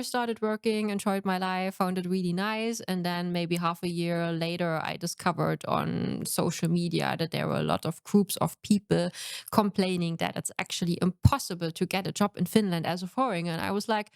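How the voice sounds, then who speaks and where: A woman's voice: fairly low pitch, lively intonation, normal speed, clean tone, dry recording, clean studio sound. A woman from Germany with a German accent, a podcast guest chatting in a reflective, easygoing way and laughing now and then. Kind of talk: casual interview.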